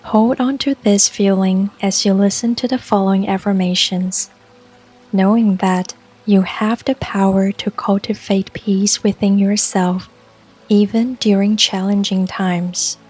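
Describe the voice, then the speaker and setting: A woman narrating a scripted guided meditation in a calm, soothing, unhurried way, with long pauses between phrases. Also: mid-range voice, slightly rough. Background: soft music and rain sounds.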